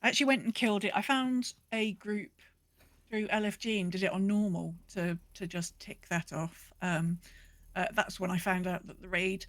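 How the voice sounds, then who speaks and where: A woman's voice: medium pitch, fairly even intonation, normal speed, fairly bright tone, dry recording. Woman chatting casually, close and dry. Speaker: podcast host. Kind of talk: casual gaming podcast chat.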